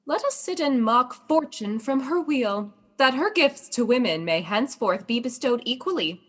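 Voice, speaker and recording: A witty, loyal young princess in a Shakespearean radio drama. Her voice sits fairly high as she delivers classical dialogue. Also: sing-song, moderate pace, a bit bright. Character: young princess, loyal, bright-witted cousin. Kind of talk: Shakespearean radio play acting.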